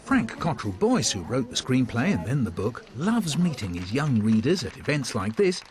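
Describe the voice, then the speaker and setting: A man's voice: mid-range voice, very animated, normal speed. A man presenting a magazine programme, reading scripted narration. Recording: faint music bed behind. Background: faint music.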